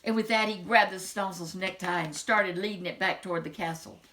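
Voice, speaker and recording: A woman storyteller reading a children's picture book aloud with expressive, dramatic phrasing. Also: fairly low pitch, a little fast.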